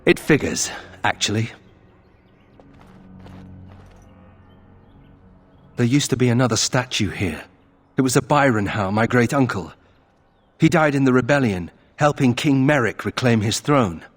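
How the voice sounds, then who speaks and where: An actor playing a brooding young nobleman of a disgraced family in a video game, husky, wry and rueful in a conversational way. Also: mid-range voice, animated, moderate pace, lightly acted. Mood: wry musing. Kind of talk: video game character acting.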